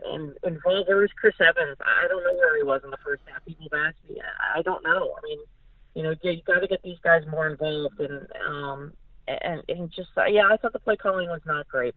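A woman's voice: low voice, a little fast, no room sound. American woman talking sport down a phone line. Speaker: sports reporter as podcast guest. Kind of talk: casual sports analysis. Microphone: phone call. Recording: thin, narrow phone-line sound.

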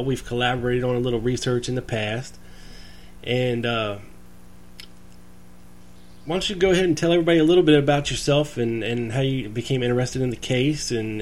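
Host: man, middle pitch, easygoing and chuckly, slight background hum. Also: darkish tone. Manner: friendly, chuckling, filler-heavy. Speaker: podcast host. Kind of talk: casual interview.

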